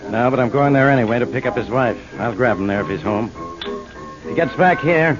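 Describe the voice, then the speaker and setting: Man playing a blustering cop, gruff, curt, rapid-fire, impatient, muffled 1940s radio drama sound. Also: medium pitch, music in the background, close to an everyday voice. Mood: gruff, demanding. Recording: muffled old radio recording.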